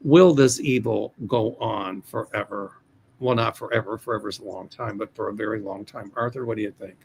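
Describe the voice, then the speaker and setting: A man's voice: fairly low pitch, brisk, gravelly, breathy edge, poor audio. An older American man hosting a livestream as a psychic, reading viewer questions in a hesitant, rambling way with many restarts. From United States. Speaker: psychic host of a livestream show.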